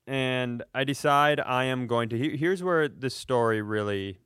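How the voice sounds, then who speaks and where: A man's voice: mid-range voice, measured pace, fairly bright tone, clean tone, no room sound, clean studio sound. A man hosting a comedy podcast, telling stories casually in a self-deprecating, profane way.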